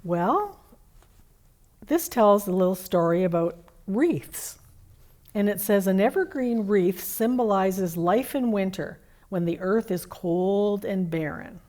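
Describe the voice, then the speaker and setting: A woman's voice: medium pitch, expressive, slow, warm tone. A woman playing Santa's kindly, homely wife as a children's holiday storyteller. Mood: fond.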